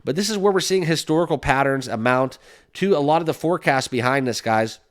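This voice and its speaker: A man's voice: on the high side, animated, a little fast. A man hosting a crypto channel, chatting casually and upbeat with lots of fillers and "right?" tags, sniffling with a slight cold. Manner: upbeat, full of fillers, keeps asking "right?". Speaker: crypto YouTube host. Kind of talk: casual talk to camera.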